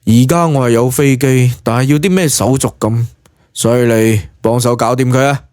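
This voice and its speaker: A man's voice: medium pitch, fairly even intonation, moderate pace, a little mellow, no room sound, studio quality, lightly acted. A man voicing a brash, foul-mouthed young male hero of an audio drama, blunt and slangy, with a Hong Kong Cantonese accent. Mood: demanding.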